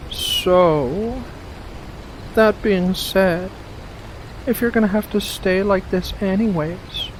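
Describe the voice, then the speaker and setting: Doting witch, acted by an ASMR performer, soft-spoken, unhurried, mid-pitched, playful and cooing, steady rain-like noise. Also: natural voice. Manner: slow, cooing, coaxing, with hums and pet names. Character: doting, playful furry witch, sweetly possessive.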